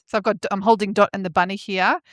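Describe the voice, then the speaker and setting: An Australian woman in her forties co-hosting a podcast, reminiscing in relaxed, casual conversation. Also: medium pitch, quick pace, dry recording. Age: 40s. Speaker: podcast co-host. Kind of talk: casual nostalgic chat.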